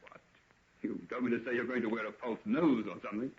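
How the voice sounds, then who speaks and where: An actor playing a sceptical, cautioning friend in an old radio drama, with a wry, blunt manner. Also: male voice, medium pitch, fairly even intonation, quick pace, natural voice. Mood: wary, teasing. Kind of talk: 1940s radio acting. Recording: noisy, dull old radio recording.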